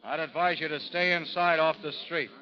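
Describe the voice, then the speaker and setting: Male actor as stoic frontier gunman, fairly high voice, plain earnest speech, radio drama, dull old recording. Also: steady tone, moderate pace, close to an everyday voice. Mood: steely. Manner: plain-spoken, earnest Western talk. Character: stoic, resolute frontier gunfighter, grimly honorable. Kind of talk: 1930s-40s radio drama acting. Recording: muffled vintage radio recording, crowd noise behind.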